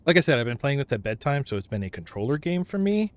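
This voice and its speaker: A man chatting casually on a gaming livestream, thinking aloud in a halting way. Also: mid-range voice, sing-song. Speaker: game livestreamer.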